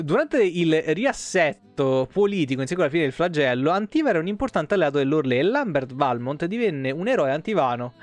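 Man, thirties, somewhat high voice, game streamer, reading lore aloud, irreverent casual commentary, faint background music. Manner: irreverent, foul-mouthed asides.

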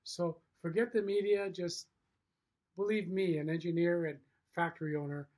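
A man's voice: sits high, flat delivery, normal speed, darkish tone. A middle-aged man giving a promotional message to camera as a business owner and host, emphatic, in short punchy sentences. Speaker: factory owner and show host. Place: indoor room with wood-block walls.

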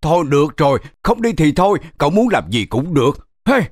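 Excitable, hapless cartoon wolf, swooping pitch. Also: male voice, fairly quick, no room sound, studio quality. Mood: coaxing. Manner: exclaiming, dramatic, stammering when flustered. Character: hapless, excitable grey wolf, protesting his innocence. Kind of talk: children's cartoon dub.

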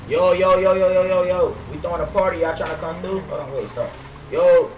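Young male streamer, slangy and informal, slightly high voice, echoey room with game noise. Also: steady tone, a little fast, darkish tone, far from the mic. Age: young adult. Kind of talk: casual live-stream chat while gaming. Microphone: gaming headset.

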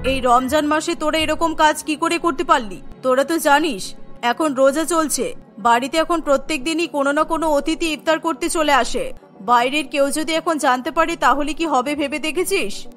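A woman's voice: high voice, moderate pace, a bit bright. A woman voicing a stern, angry older mother-in-law who scolds indignantly in a cartoon story. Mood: angry, scolding. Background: background music.

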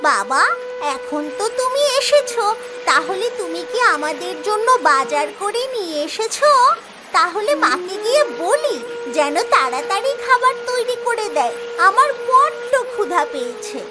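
A tiny sulky village girl, really squeaky high, whiny cartoon voice with music behind. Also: big pitch swings, moderate pace. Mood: pleading. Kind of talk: Bengali cartoon voice acting.

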